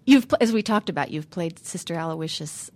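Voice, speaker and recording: A woman's voice: lowish voice, animated, a little fast, a little mellow, no room sound. Woman interviewer, dry close sound. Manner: thoughtful, probing questions. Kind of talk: arts interview.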